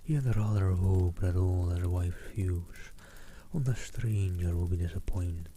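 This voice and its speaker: Deep whispering ASMR reader. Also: male voice, unhurried, slight background noise. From Netherlands. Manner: soft, hushed, often whispered. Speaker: streamer reading a novel. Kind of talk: ASMR book reading.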